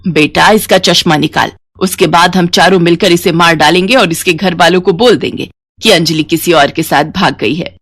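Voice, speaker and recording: A woman's voice: fairly low pitch, moderate pace, a bit bright, no room sound. A woman voicing a cold, scheming older mother-in-law in a moral story. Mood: cold, commanding.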